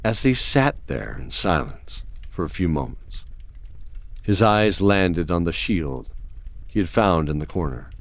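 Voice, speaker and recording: A man serving as narrator, reading scripted fantasy storytelling in a measured manner. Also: low-pitched, lively intonation.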